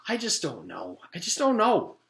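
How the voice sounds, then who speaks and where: Man voicing a fretful schoolboy, pitched high and swooping. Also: wildly swooping pitch, moderate pace, gravelly, fairly bright tone, exaggerated voice. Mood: agonized, fretful. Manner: griping, muttering, repeating himself. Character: indecisive, earnest, fretful schoolboy. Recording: roomy echo.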